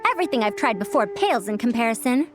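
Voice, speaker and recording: A woman's voice: on the high side, expressive, fast talker, over music, close to an everyday voice. Woman voicing a proud, formal female scholar. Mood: appraising. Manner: formal, appraising. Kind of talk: video game cutscene acting.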